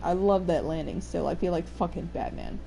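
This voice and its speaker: A young woman with a fairly deep voice for a woman, chatting casually and joking while streaming a game, swearing now and then. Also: a little fast, vocal fry, mellow, a little hiss or hum, low-quality recording. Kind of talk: casual gameplay chat.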